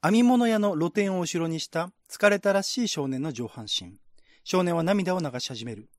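Higher-voiced man narrating, dry sound. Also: lively intonation, moderate pace, no room sound. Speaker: narrator, reader. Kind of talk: scripted narration, radio-drama style literary reading.

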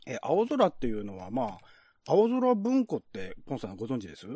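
A man's voice: mid-range voice, wildly swooping pitch, fairly quick, mellow, slightly rough, dry recording. A man who works as a radio drama host and narrator, presenting and reading scripts.

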